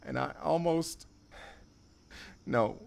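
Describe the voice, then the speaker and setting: An actor playing a remorseful drifter confessing a violent past in audio theater, speaking haltingly and broodingly. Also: male voice, mid-range voice, creaky, heavily acted. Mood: anguished confession.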